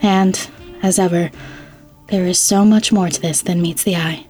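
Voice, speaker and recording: Low, even-toned woman hosting over music. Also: a little slow, husky. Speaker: podcast host. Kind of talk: scripted podcast introduction.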